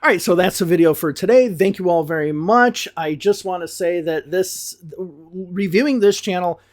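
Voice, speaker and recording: High-voiced American man reviewing casually. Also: moderate pace. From Chicago area, USA. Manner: relaxed, talks things through with frequent hedges. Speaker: English teacher and channel reviewer.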